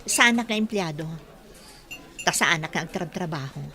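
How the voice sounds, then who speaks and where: A Filipino woman playing an insistent stranger in a radio drama, measured and pointed as she warns. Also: on the low side, animated, sharp tone. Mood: warning.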